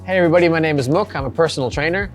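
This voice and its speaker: High-energy man in his forties. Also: racing, soft background music. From Hong Kong. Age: 40s. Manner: energetic, emphatic. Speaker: personal trainer. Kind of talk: casual chat.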